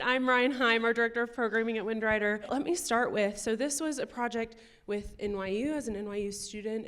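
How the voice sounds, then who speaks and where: A woman's voice: mid-range voice, a bit bright. A woman hosting a post-screening Q&A, speaking in an appreciative way with some fillers. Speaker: interviewer, programming director.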